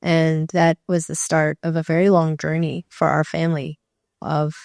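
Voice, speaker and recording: Low gravelly-voiced woman on a panel. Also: level tone, moderate pace, darkish tone, no echo, clean studio sound. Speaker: panelist, behavioral health professional. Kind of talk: personal testimony on a panel.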